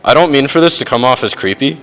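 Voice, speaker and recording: A young man giving an informal best man speech at a wedding reception, halting, with dry, self-deprecating humor. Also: medium pitch, animated, a bit bright, slight background noise. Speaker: best man, groom's older brother. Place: wedding reception, speaking to guests.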